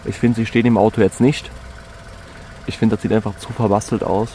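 A man's voice: lowish voice, fairly quick, slight background noise. Easygoing man showing his car. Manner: relaxed and chatty, many fillers and restarts. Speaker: car vlogger. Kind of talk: casual vlog walkthrough. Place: in and around a car.

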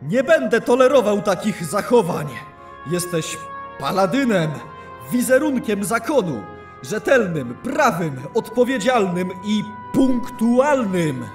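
A man's voice: sits high, big pitch swings, faint music behind, heavily acted. A man playing a stern, wrathful elven lord of a paladin order in a fantasy audio drama, scolding imperiously. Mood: angry, stern. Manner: imperious, scolding, deliberate delivery.

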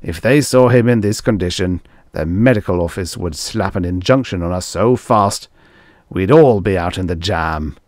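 A man's voice: low voice, normal speed, a little mellow, no echo, clean studio sound, lightly acted. A man voicing a harried middle-aged male TV producer who speaks grimly and gratingly. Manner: grating and grim.

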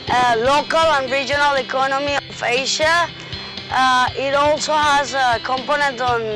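A woman from Colombia, a native Spanish speaker and master's student, speaking in a lively, upbeat way. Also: sits high. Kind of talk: interview and travel narration. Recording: music bed behind voice.

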